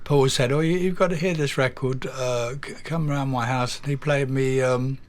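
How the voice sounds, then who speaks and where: Older English man, halting and relaxed. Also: mid-range voice. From England. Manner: halting, with many fillers and repeated words. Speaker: guest, drummer. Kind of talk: casual interview storytelling.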